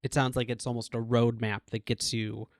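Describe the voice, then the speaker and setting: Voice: mid-range voice, level tone, normal speed, no echo, clean studio sound. A podcast host who asks supportive follow-up questions in a casual chat.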